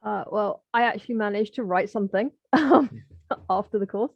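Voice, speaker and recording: A woman's voice: medium pitch, normal speed, vocal fry, breathy edge, no room sound. A woman guest telling a casual, self-deprecating story in an informal way. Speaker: writing course graduate, guest. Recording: dull, dry lo-fi call audio.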